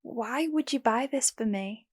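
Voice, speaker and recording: A woman's voice: on the high side, unhurried. A young woman playing a guarded but hopeful homeless singer in an audio drama, candid and plain-spoken. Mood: grateful, touched. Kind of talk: first-person audio drama narration and dialogue.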